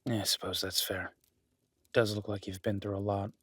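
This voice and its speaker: A man acts out a gruff, skeptical loner in a remote cabin for an intimate audio drama. His voice is low and rough-edged, recorded close and very dry. He is blunt and sweary, yet grudgingly caring and reassuring. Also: flat delivery, moderate pace, a bit bright, dry recording. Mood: apologetic, reassuring. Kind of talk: immersive audio roleplay, intimate naturalistic acting.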